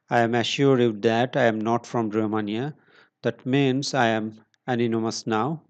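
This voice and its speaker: Slow, steady man walking through a tutorial. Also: medium pitch. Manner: slow, step-by-step instructions with pauses and fillers. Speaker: tutorial presenter. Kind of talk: screen-recorded tech tutorial.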